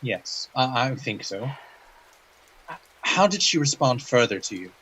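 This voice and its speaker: An actor playing a measured interviewer in an audio drama, questioning a dreamer in a formal, precise and methodical way. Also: male voice, medium pitch, big pitch swings, fairly bright tone, a little hiss or hum, poor audio. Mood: attentive, measured. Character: measured, probing male interviewer examining a dreamer.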